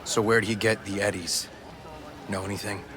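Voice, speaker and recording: An actor playing a hard-nosed, streetwise detective in a video game, speaking in a terse, dry, wry manner. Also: male voice, lowish voice, level tone, normal speed, natural voice. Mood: probing. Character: cynical, hard-nosed male police detective, wry and tough. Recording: clear noise behind the voice.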